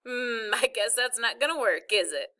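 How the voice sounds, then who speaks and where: A woman's voice: fairly high pitch, lively intonation, normal speed, sharp tone. Woman voicing a wry, teasing dad. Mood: teasing, amused. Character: teasing, amused father in his thirties.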